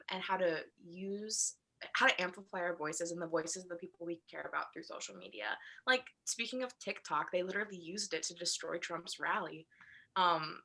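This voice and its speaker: A woman's voice: on the low side, sharp tone. A woman in her twenties chatting casually as a podcast guest. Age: 20s. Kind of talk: casual chat.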